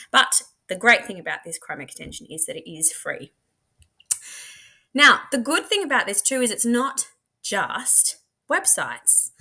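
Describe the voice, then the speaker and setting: A woman's voice: on the low side, sharp tone. A woman, a teacher, giving a chatty instructional screen demo, talking through each step aloud. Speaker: teacher presenting a software tutorial. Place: indoor room at a computer.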